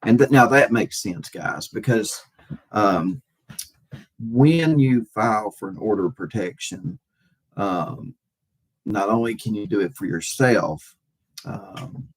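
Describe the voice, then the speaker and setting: A man hosting a casual livestream, talking to viewers in a halting, hesitant way with repeated phrases and a husky voice. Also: fairly low pitch, rough recording.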